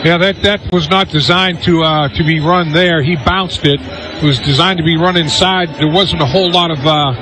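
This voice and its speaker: An American man giving unscripted colour analysis of a live football game, halting and repeating words as he explains. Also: on the high side, steady tone. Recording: noisy live stadium radio broadcast.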